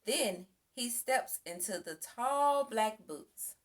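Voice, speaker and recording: A woman reading a children's picture book aloud as an expressive storyteller. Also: fairly high pitch, slow. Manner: expressive, acts out sound effects and exclamations. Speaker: storybook reader.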